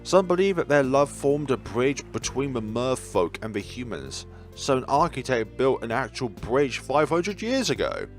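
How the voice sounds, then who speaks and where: A man's voice: medium pitch, big pitch swings, normal speed, hardly any pauses, faint music behind, natural voice. A man voicing a polite, teasing young male gondolier and tour guide in a visual novel. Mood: showy.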